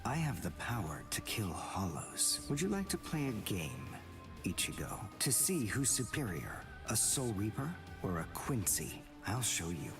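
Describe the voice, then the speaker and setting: An actor playing a cold, arrogant teenage boy rival in an English anime game dub, speaking in a deliberate, condescending way. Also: fairly low pitch, wildly swooping pitch, distant mic, music in the background, heavily acted. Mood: taunting.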